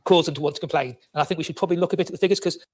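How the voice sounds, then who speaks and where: A man speaking as a county councillor in a formal council debate, rapid, with stumbles and repeated words. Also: fairly high pitch, darkish tone.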